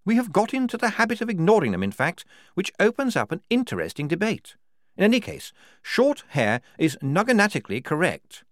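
A man acts out a brisk army major from an audiobook. His voice sits high and moves around a lot. He is tetchy and principled, arguing with formal precision. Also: wildly swooping pitch, no echo, studio quality. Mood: pedantic, assured. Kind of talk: audiobook character dialogue.

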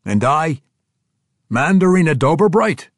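Dude putting on a plainspoken dwarf guy, flat matter-of-fact delivery, raised voice. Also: on the high side, a little slow, dry recording, clean studio sound, voice pushed up. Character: stubborn young dwarf king, blunt and plain-spoken.

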